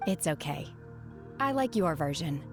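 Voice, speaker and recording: Aloof reserved young woman, deep calm voice. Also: unhurried, heavily acted. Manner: calm, quiet, detached. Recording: game dialogue with music behind.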